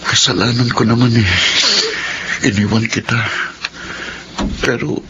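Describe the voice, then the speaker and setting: Young man, guilty and hesitant, soft-spoken, played by a man, radio-drama, noise underneath. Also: mid-range voice, fairly even intonation, unhurried, lo-fi sound, natural voice.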